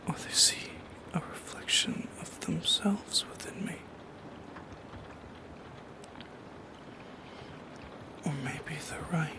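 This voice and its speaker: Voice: medium pitch, close to an everyday voice. Whispering, lonely shadow creature, voiced by an ASMR performer. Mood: anguished, fearful. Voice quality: breathy whisper. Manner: slow, hesitant, philosophical musing. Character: lonely, wistful shadow creature haunting the listener at night. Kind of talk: soft-spoken ASMR monologue.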